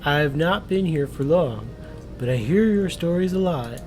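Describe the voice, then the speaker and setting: Slow, smug male captive, read by a man. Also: expressive, a little mellow, faint music behind. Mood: smug, teasing. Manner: slow, drawn-out, condescending. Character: smug, teasing male captive with strange spells, slow and mocking. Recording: clear background noise with low rumble.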